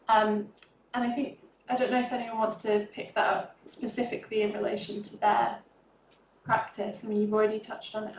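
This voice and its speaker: A woman moderating a panel discussion, hesitant with many ums. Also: mid-range voice. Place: public talk room. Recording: roomy far-off mic with faint room noise.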